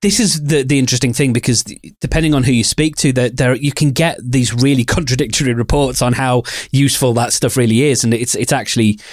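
A man talks casually as a podcast co-host. He has a middle-pitched voice and a measured, slightly tentative way of explaining himself, recorded with very little room sound. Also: no room sound, studio quality. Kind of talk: casual discussion about podcasting.

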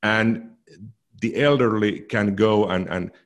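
Deep-voiced man, casual podcast talk. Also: slow. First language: Finnish. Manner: thoughtful, repeats words as he goes. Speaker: podcast co-host. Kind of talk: casual technical chat.

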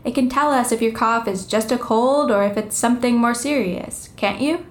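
An actress playing a bookish young schoolgirl in an audio drama, earnest, excitable and keen to explain things. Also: on the high side, normal speed, lightly acted. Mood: earnest, pleading. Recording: roomy echo on the voice.

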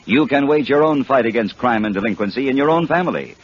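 A man's voice: mid-range voice, animated, fast, breathy edge. A man reading scripted announcements in old radio style, on a dull vintage recording.

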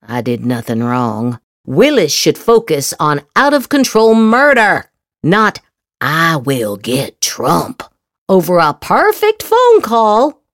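A woman giving a theatrical, sarcastic dramatic reading of political posts as a podcast host, with exaggerated emphasis and drawn-out words. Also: fairly low pitch, fairly bright tone, no echo, clean studio sound.

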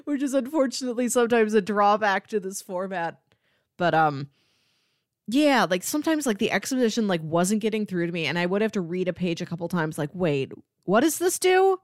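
A woman's voice: medium pitch, big pitch swings, brisk, dry recording, studio quality. A woman co-hosting a casual review discussion, thinking aloud in a conversational, hedging way. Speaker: podcast co-host reviewing comics.

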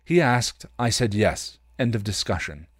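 A man's voice: on the low side, lively intonation, a little slow, breathy edge, dry recording, clean studio sound. A man reading the part of a worried young woman, firm and impatient, in a storybook narration.

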